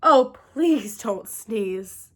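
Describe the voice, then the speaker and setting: A woman's voice: on the high side, expressive, extremely slow, pitched below the performer's own voice, exaggerated voice. A woman voicing frightened, complaining bees from a storybook in an urgent, pleading manner. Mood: alarmed pleading.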